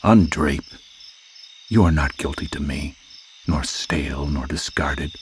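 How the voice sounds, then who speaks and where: A man reciting poetry dramatically as a voice artist, with measured, declamatory delivery and deliberate pauses between phrases. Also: extremely low pitch, slight background noise. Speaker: voice artist reading poetry aloud. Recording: music and nature soundscape behind voice. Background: music, chirping insect sounds.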